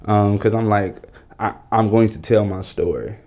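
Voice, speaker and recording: Male, 40s, podcast guest. Deep-leaning, very mellow delivery, conversational and slangy. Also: normal speed. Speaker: podcast guest, brand strategist and producer.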